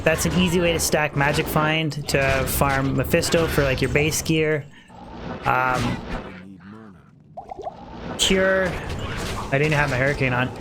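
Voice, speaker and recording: A man's voice: a little high, measured pace, long pauses. A man livestreaming a game with casual, rambling, slangy commentary, self-deprecating, his voice a little tired. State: voice tiring. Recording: clearly noisy background.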